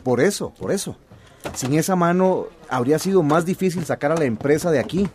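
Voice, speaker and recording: An actor playing a determined, grieving village activist in a radio drama, insistent and repeating himself. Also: male voice, fairly high pitch, lively intonation, fairly quick, a little mellow, slight background noise, natural voice. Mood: grateful, encouraging.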